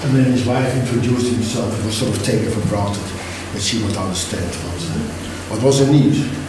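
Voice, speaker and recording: A middle-aged man giving an academic lecture, speaking in a halting, self-correcting way with many restarts. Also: lowish voice, noisy background. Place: lecture hall. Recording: very echoey hall, mic far off.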